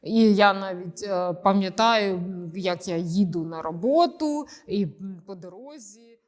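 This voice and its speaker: A woman's voice: mid-range voice, a little slow, darkish tone. A woman in her forties from Ukraine, answering interview questions hesitantly with many filler sounds. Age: 40s. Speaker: interview guest. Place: room in Kyiv.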